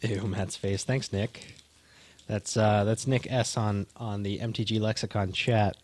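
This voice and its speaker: A man co-hosting a casual stream, chatting in a relaxed, halting way with frequent restarts. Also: fairly low pitch. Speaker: co-host opening card packs. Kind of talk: casual chat.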